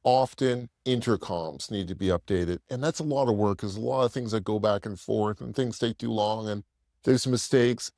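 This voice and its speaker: A man's voice: lowish voice, darkish tone, no echo, clean studio sound. A man presenting a casual product demo in a plain-spoken, explanatory, conversational way.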